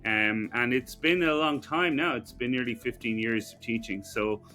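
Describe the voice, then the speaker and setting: A middle-aged Irish man, a professor guest, telling his story in a relaxed, conversational way. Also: mid-range voice, moderate pace, faint music behind. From Ireland. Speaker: guest, business school professor. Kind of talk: conversational interview.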